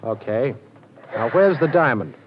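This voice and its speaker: An actor as a cool ex-con detective with a wisecracking, cocky edge, mid-pitched and steady. The sound is dull and muffled, like an old 1940s radio broadcast. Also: male voice, a little slow, very noisy, natural voice. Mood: tough.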